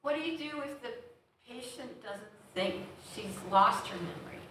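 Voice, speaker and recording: Older woman, audience questioner, bright chatty voice, far from the mic in a very echoey hall. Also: a little high, lively intonation, normal speed. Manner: chatty, wry about her mother. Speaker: audience member asking a question. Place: church hall, audience seating. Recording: distant mic in echoey hall, faint room noise.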